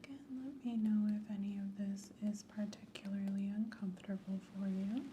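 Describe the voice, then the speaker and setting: Mellow-voiced woman whispering a clinical exam. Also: mid-range voice, steady tone, slight background noise. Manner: soft, slow, clinical instructions, often whispered. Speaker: ASMR host playing a clinical examiner. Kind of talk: ASMR medical exam roleplay.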